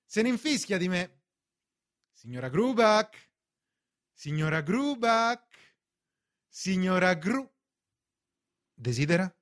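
An actor playing an indignant, bewildered bank clerk of thirty in a theatrical radio drama, protesting and questioning. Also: male voice, high-pitched, very animated, slow, pauses often, fairly bright tone, exaggerated voice. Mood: annoyed.

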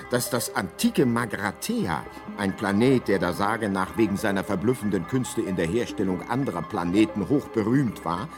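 Man as droll guidebook narrator, low voice, animated intonation, mock-solemn radio storytelling. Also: close to an everyday voice. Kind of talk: scripted radio drama narration. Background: music.

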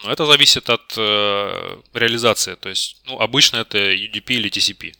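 A man's voice: on the low side, brisk, vocal fry, bright, forceful tone, clear, resonant tone, dry recording, clean studio sound. A man being interviewed for a technical job, explaining spontaneously and tentatively, often correcting himself. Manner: tentative, self-correcting, admits uncertainty.

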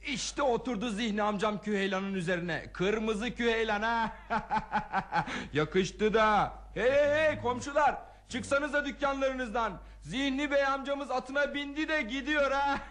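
A man's voice: very high voice, a little slow, fairly bright tone. An actor playing a boisterous, teasing neighbourhood man who jeers and exclaims in a radio play. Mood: gleeful mockery. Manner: jeering, exclamatory, repeats himself, cackling. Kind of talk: Turkish radio theatre acting.

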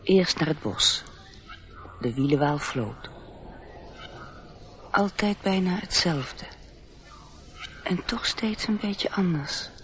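An actor as the gentle narrator of a fairy tale in a radio play, telling it in storybook style. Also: male voice, high-pitched, expressive, takes it slowly, pauses often, slight background noise, exaggerated voice. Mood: lyrical.

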